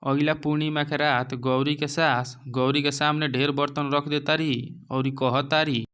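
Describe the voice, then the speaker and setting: Clear-voiced Bhojpuri male storyteller. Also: a little high, level tone, measured pace, a bit bright. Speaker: narrator. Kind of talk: scripted moral-story narration.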